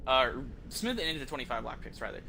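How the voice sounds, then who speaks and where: A man's voice: medium pitch, big pitch swings, quick pace, vocal fry, sharp tone, slight background noise. A man chatting casually and rambling through gameplay commentary as a streamer, conversational and prone to swearing. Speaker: video game streamer.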